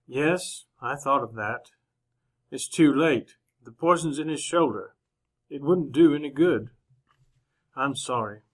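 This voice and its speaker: A man acting as a proper gentleman on the frontier in an old-time radio drama. His middle-pitched voice is restrained and formal, with careful, measured phrasing. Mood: regretful, restrained. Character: proper gentleman traveler narrating his Wild West journey, reserved, humane.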